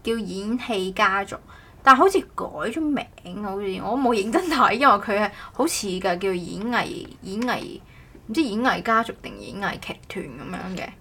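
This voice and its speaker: A woman's voice: mid-range voice, lively intonation, normal speed, pushed and bright. A woman hosting a casual podcast chat in a playful, teasing way. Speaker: podcast host. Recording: clearly noisy background ambience.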